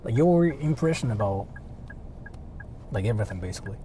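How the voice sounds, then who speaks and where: A man's voice: on the low side, lively intonation, fairly quick, warm tone. A man from Japan, chatting casually on a livestream in blunt, repetitive slang with some swearing. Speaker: livestreamer, channel host. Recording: outdoor street noise.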